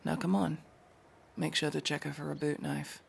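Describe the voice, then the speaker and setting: A man playing a cold, sardonic masked elf mercenary in a fantasy audio roleplay, menacing and dryly sarcastic, with a gravelly, husky voice. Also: fairly high pitch, fairly even intonation, heavily acted. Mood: dry, taunting. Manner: slow, menacing, dry sarcasm.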